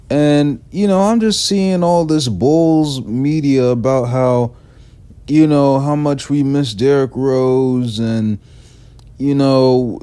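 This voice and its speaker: A man, a little raspy from being sick, giving a rambling, casual sports opinion talk, repeating "man" often. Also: mid-range voice, mellow. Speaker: sports fan commentator.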